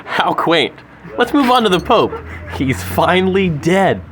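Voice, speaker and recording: A man's voice: high voice, big pitch swings, unhurried, fairly bright tone, faint music behind. A young man hosting a comic news segment with mock-formal, facetious delivery. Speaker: podcast segment host. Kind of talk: mock news anchor banter. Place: sushi restaurant. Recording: busy restaurant chatter behind.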